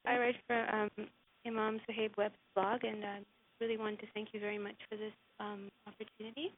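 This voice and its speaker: A woman's voice: mid-range voice, flat delivery, a little fast. A woman interviewing a guest, asking questions hesitantly with many ums and uhs. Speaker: interviewer, blog writer. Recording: phone-line sound with faint hiss.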